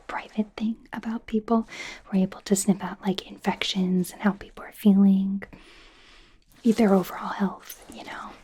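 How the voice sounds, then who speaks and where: A woman playing a nervous, awkward werewolf doctor in an ASMR roleplay, whispering softly in a hesitant, rambling, self-deprecating way. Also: mid-range voice. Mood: instructing, hesitant. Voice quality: soft breathy whisper.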